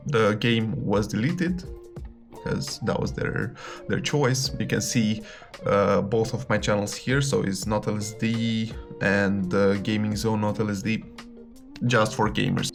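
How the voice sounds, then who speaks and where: A man in his late twenties speaking casually to camera, rambling and repeating words as he searches for them. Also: fairly low pitch. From Romania. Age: late 20s. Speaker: YouTuber. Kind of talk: casual direct-to-camera vlog. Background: background music.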